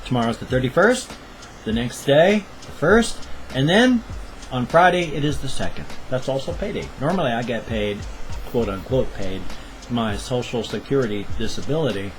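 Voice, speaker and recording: A man's voice: mid-range voice, animated, normal speed, faint music behind, low-quality recording. A man in his fifties rambling through a casual daily vlog at home, with jokey asides, recorded on a webcam. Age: 50s. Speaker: vlogger.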